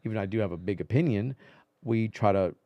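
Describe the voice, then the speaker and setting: A man's voice: fairly low pitch, quick pace, no room sound, dull audio. A man speaking as a veteran reporter and interview guest, in casual conversational talk full of repetition and asides.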